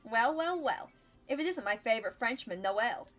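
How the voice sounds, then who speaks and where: A woman voicing a brash teenage girl prankster who mocks loudly and melodramatically. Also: fairly high pitch, expressive, moderate pace, soft background music. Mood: mocking. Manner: loud, mocking, melodramatic.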